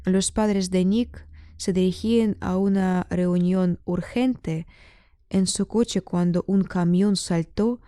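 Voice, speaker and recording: A woman narrates a scripted dramatic story, reading aloud in a measured way with pauses. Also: lowish voice, mellow, no echo, clean studio sound.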